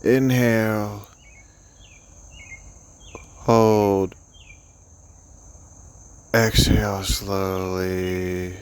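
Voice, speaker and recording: Slow, low-voiced man guiding meditation. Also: level tone, clear, resonant tone. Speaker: meditation guide. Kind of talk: guided sleep meditation.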